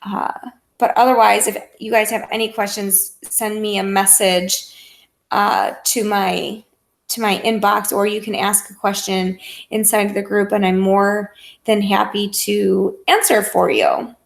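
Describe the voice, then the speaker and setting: A woman's voice: mid-range voice, flat delivery, measured pace, creaky. An American woman talking casually and encouragingly as a fitness coach on a group call, in an informal, conversational way. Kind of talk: casual motivational coaching talk.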